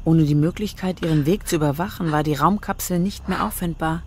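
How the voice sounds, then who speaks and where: Lower-pitched female drama narrator. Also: a little fast, a little hiss or hum. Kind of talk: scripted radio drama narration.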